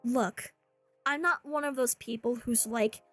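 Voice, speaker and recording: A woman with a high voice delivers a scripted online rant. She is sarcastic and jokes as she criticizes, slipping into mocking asides. Faint music plays behind her. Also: a little slow. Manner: sarcastic, joking. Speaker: commentary YouTuber.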